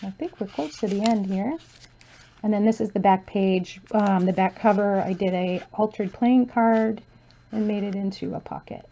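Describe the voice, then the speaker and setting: A woman casually talking through a handmade journal she shows page by page, softly and with frequent fillers, her voice going hoarse as she loses it. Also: medium pitch, moderate pace, highs cut off, a little hiss or hum. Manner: soft-spoken, relaxed, with frequent um and uh fillers. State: losing her voice, clearing her throat. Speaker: crafter showing her journal. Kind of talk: casual flip-through commentary.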